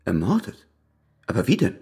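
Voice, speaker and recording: Guarded husband in a radio crime play, really low husky voice, hesitant answers. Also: male voice, huge pitch swings, normal speed, dark tone, full character voice. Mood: tense, concerned. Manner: hesitant, evasive. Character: guarded husband and ex-convict under police questioning. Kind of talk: German radio drama dialogue.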